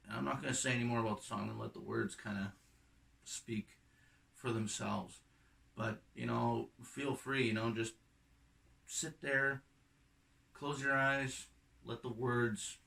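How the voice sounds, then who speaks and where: Slow-talking, slightly croaky middle-aged man. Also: medium pitch, recorded from a distance. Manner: unhurried, halting, trailing off softly. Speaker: acoustic folk and bluegrass musician. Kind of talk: casual, reflective talk between songs at a concert.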